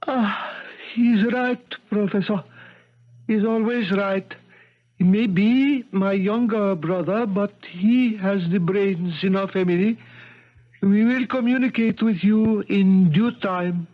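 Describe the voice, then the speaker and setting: An actor playing a jovial, naive Himalayan crown prince in a 1970s radio drama, with an exuberant manner. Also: male voice, high voice, slow pace. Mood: deferential, earnest. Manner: exuberant, deferential to his brother. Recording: muffled 1970s radio broadcast.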